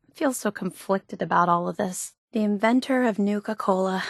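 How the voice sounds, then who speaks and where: A woman playing an eager, obsessive young superfan in a video game, with a gushing, earnest, excitable manner. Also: medium pitch, moderate pace, vocal fry, dry recording, clean studio sound. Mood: wistful, conflicted. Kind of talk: video game character dialogue.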